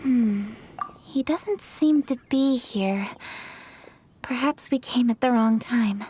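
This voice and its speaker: High-voiced, poised young noblewoman. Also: slow, mellow, slight background noise. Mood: polite, thoughtful. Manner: composed, polite, decisive. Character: poised, businesslike young noblewoman, a city official. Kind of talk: video game voice acting.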